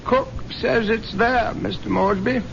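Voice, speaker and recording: A man's voice: sits high, unhurried, gravelly, fairly bright tone, exaggerated voice. An actor playing a timid, formal household servant in a 1970s radio drama, apologetic and deferential to his master. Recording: muffled, noisy old radio broadcast.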